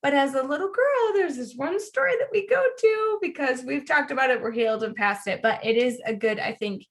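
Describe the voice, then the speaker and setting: A woman hosting a podcast, telling personal stories in a casual, animated way with emphatic phrasing. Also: sits high, brisk. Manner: animated, acts out quoted lines, emphatic repetition. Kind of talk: casual conversational storytelling.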